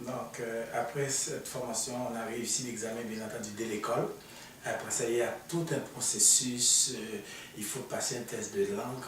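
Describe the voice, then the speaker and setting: A man's voice: medium pitch, a little hiss or hum. Man speaking in a very echoey room. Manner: talks through his own experience, with fillers. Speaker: immigration consultant, guest. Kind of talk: explaining on a panel. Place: studio. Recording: echoey room, mic far off.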